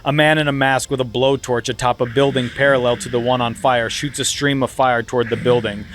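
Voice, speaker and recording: A man reading stage directions aloud in a dry, steady voice at an average pitch. He keeps an even narrator's tone as he moves through a comic script reading. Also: a little fast, slight background noise. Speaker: podcast host, narrator.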